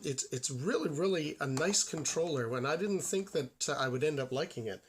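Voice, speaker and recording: A man hosting a casual retro gaming review, his voice raspy from a cold, rambling enthusiastically and correcting himself as he goes. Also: mid-range voice. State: recovering from a bad cold. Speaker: host of a retro gaming video channel.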